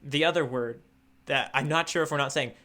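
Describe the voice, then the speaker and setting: Male co-host, fairly high and very bright voice, good-humored, conversational, halting asides. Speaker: podcast co-host, newcomer tourist. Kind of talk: casual chat, manga readalong podcast.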